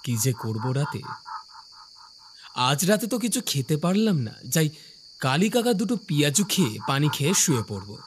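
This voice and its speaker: A man's voice: on the high side, sing-song, moderate pace, long pauses, a little hiss or hum. A man voicing a curious young office worker who narrates his own ghost story in a cartoon, with acted dialogue. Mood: storytelling. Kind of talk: scripted first-person narration with acted dialogue.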